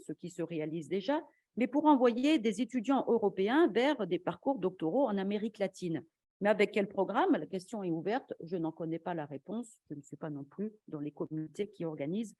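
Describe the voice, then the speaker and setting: A woman delivers a formal academic conference talk with a mellow, dark-edged voice at a middling pitch. She speaks in a measured, argumentative manner, as a scholar presenting to peers. The sound is close and dry, with very little room on the voice. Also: no room sound. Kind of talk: formal academic lecture with slides.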